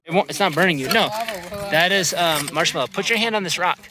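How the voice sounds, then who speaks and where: A man in his thirties, excitedly narrating a family vlog to a handheld camera outdoors on a volcano. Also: fairly high pitch, fast. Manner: excited, exclaiming, explaining to camera. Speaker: family vlogger. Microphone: handheld camera, selfie framing. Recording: loud wind and outdoor noise.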